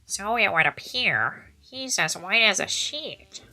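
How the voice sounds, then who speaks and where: A woman's voice: mid-range voice, sing-song, slow pace, over music, voice pushed up. A woman voicing a brash but concerned young male gang underling in a visual novel, with casual, punchy delivery. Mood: surprised concern.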